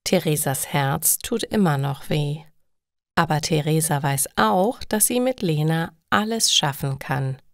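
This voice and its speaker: A woman's voice: low-pitched, unhurried, gravelly, dry recording, clean studio sound. A woman reading scripted, simple stories for language learners in careful short sentences.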